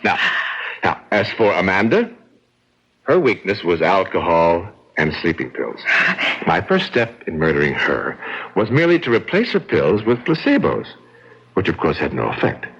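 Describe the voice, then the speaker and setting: A man's voice: low voice, huge pitch swings, moderate pace, slightly rough, heavily acted. A man playing a suave, cold killer in a radio drama, speaking in a smug, theatrical, taunting manner. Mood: coolly taunting. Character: suave, cold, gloating killer brother-in-law. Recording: dull, band-limited old radio recording.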